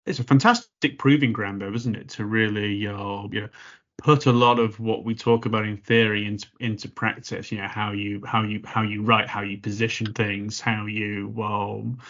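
Brief: male podcast host, lower voice, dull lo-fi sound, loose and rambling. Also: little pitch movement, moderate pace, fairly bright tone. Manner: rambling, repeats words, many fillers. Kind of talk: conversational podcast interview.

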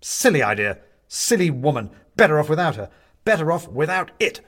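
A man's voice: a little high, big pitch swings, a little fast, a bit bright, pitched above the performer's own voice. A man voicing a selfish, testy, defensive man in a storybook reading, speaking with peevish emphasis. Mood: furious. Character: selfish, peevish, indignant man, shrunken and dead.

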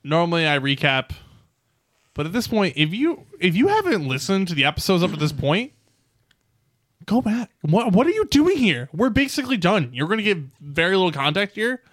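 Casual young male podcast host, bright and crisp, dry booth sound. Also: sits high, very animated, moderate pace, dry recording. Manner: loose, self-correcting, joking. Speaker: host and Dungeon Master. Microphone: studio mic.

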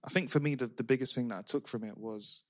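Young man, lively storyteller, dry close-miked sound. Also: medium pitch, fast, no echo. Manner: animated storytelling, laughing slang-heavy. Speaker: podcast co-host. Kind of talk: casual chat among friends.

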